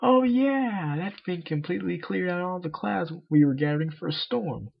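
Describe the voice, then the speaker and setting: A man's voice: high voice, lively intonation, moderate pace, creaky, muffled recording, pitched above the performer's own voice, exaggerated voice. A man voicing a cocky female cartoon pegasus pony, offhand and impatient. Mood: curious.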